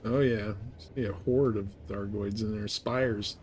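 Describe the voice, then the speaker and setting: A man's voice: on the low side, fairly even intonation, measured pace, darkish tone, a little hiss or hum. A man livestreaming a game, chatting casually and thinking aloud in a rambling way. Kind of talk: casual gameplay stream chat.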